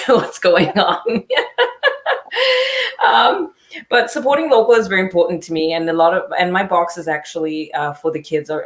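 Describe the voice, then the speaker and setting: A woman from Zimbabwe, a small business owner speaking in a casual panel chat, chatty and earnest, on a video call. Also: low voice. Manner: chatty, earnest, laughs easily.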